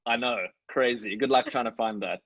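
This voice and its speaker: A man's voice: mid-range voice, steady tone, a little fast, a bit bright, no room sound, lo-fi sound. A man chatting casually as a podcast cast member. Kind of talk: casual chat.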